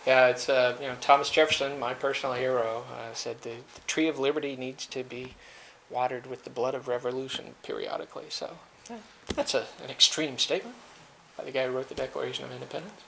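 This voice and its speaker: A man's voice: medium pitch, a little fast, vocal fry, a bit bright, a little hiss or hum, poor audio. A middle-aged man giving a seminar talk and taking audience questions, joking and self-deprecating, with many fillers and restarts. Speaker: guest speaker at a security seminar. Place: lecture room.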